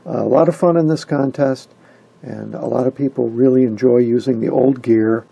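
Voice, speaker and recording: Husky guy with a low-key mellow tone, casually walking thru his hobby, kinda halting. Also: steady tone, muffled recording. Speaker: amateur radio hobbyist presenter. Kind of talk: casual explainer.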